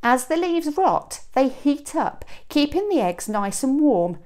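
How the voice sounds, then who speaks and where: A woman in her thirties reading a children's picture book aloud like a teacher, with expressive emphasis and dramatic pauses. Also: sits high. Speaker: teacher reading to a young class. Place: small classroom, indoors. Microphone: clip-on lapel mic.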